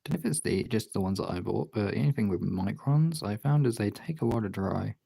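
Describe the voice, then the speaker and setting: A man's voice: lowish voice, lively intonation, a little fast, vocal fry, warm tone, husky. A man talking in an informal, rambling way, thinking aloud as he gives a casual art demonstration as a streamer. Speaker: streamer, artist. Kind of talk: casual chat while demonstrating drawing.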